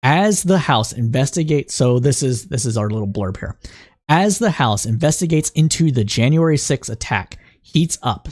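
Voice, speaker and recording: A man of middle pitch hosting a political news podcast, his voice a little raspy. He talks the news through as he thinks, stopping for fillers and restarts, then moves into reading report excerpts aloud. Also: a little mellow. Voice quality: slightly raspy. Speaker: podcast host.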